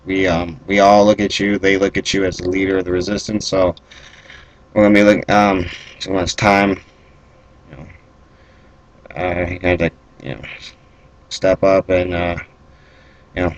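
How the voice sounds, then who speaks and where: A man improvising a fantasy roleplay speech as a commanding lord, speaking in a halting, deliberate, measured way. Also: low-pitched, flat delivery, poor audio. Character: stern kingdom lord commanding armored Templars. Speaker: role-player.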